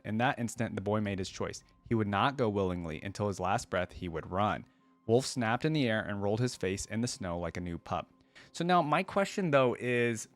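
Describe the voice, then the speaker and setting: A man hosting a reaction video, commenting casually and reading story passages aloud. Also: mid-range voice. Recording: roomy echo, faint music behind.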